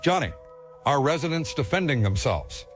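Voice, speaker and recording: A man's voice: on the high side, sing-song, moderate pace, soft background music. A man playing a serious local news anchor, delivering scripted breaking news formally in a horror audio drama. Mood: grave, urgent.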